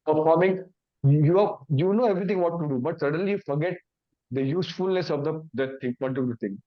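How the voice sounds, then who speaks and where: A man's voice: a little high, fast talker, lo-fi sound. A man with an Indian English accent, a native Hindi speaker, giving an awareness talk in simple, repeated phrases. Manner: explains in simple repeated phrases, acting out confused thoughts. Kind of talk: awareness talk with everyday examples.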